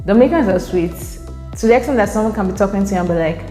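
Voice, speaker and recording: A woman's voice: medium pitch, animated, rapid-fire, dark tone. A young Nigerian woman vlogging casually. She speaks with a Nigerian accent, chatty, laughs often and repeats words while she thinks. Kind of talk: casual vlog to camera. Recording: echoey room, faint music.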